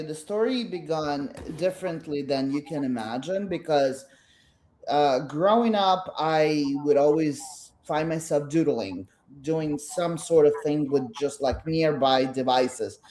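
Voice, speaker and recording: A middle-aged woman from Belarus speaks with a Russian Brooklyn accent, her first language being Russian. She talks haltingly in a casual interview, often restarting words, with a faint noise behind her. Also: low-pitched, a little mellow. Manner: halting, many restarts and fillers. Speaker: artist guest.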